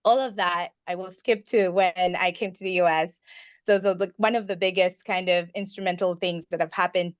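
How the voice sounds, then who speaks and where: Low-voiced woman, muffled dry sound. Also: no room sound. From Uganda. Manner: earnest, reflective, detailed. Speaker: guest, investment partner. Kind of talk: personal storytelling in a podcast interview. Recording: slightly muffled dry recording.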